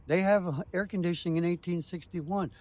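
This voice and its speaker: A man's voice: high voice, brisk, darkish tone. A man speaking as a tour guide, explaining things step by step in short, emphatic declarative phrases.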